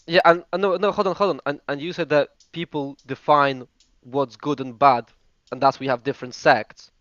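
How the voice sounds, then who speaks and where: A man's voice: a little high, steady tone, normal speed, a bit bright, dry recording. A man from Russia, polite but insistent in an online voice chat debate, stumbling and repeating words. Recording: dull voice chat audio.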